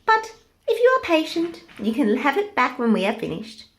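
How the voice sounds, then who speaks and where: Storybook monkey, played by a woman: very high, loud, cheeky and playful. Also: big pitch swings, normal speed. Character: playful, teasing band of monkeys.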